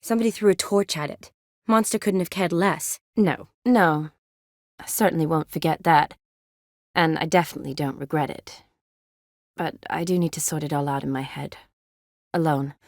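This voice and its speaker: An actress playing a young army medic in a fantasy video game, a warm, playful friend with an expressive, teasing delivery. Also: female voice, low voice, normal speed, pauses often, dry recording, clean studio sound. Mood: serious, thoughtful. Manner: expressive, teasing, sighs and soft chuckles.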